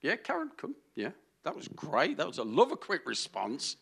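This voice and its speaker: A man's voice: a little high, wildly swooping pitch, normal speed, creaky, fairly bright tone, breathy edge. A man preaching an informal sermon, conversational and peppered with hallelujahs and light jokes. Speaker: pastor.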